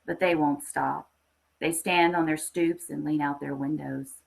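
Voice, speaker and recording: A woman's voice: low-pitched, fairly even intonation, measured pace, creaky, low-quality recording. A woman who hosts a story podcast, reading aloud and speaking with enthusiasm. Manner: enthusiastic, chatty. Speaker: podcast host and story reader. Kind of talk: dramatic story reading, then casual live hosting.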